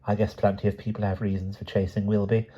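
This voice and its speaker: A man's voice: lowish voice, little pitch movement, fast, warm tone, muffled recording, close to an everyday voice. A man voicing an anxious young woman who speaks urgently. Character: young woman, frightened niece.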